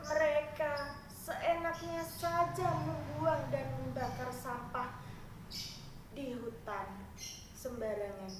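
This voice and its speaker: A woman voicing a pleading old grandmother in a folk tale, speaking slowly and haltingly in an imploring way. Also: high-pitched, fairly even intonation. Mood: lamenting. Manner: slow, halting, imploring delivery. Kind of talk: dramatized fairy-tale reading. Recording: roomy, echoing, distant, noisy recording.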